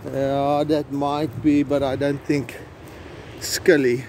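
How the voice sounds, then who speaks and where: A middle-aged South African man, an Afrikaans speaker, talks slowly into a handheld phone while out on a coastal trail. He hesitates often and restarts his sentences. Faint outdoor noise sits behind his voice. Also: medium pitch, flat delivery, a little mellow. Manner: slow, halting, with many fillers and restarts. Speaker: vlogger host. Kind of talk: casual outdoor vlog narration. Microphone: phone camera held by hand.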